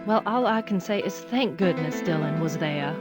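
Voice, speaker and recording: Woman playing bossy protective woman, skeptical, very lively intonation, audio drama, music behind. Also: fairly low pitch, normal speed, natural voice. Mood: curious, concerned. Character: bossy, skeptical woman fussing over her companion.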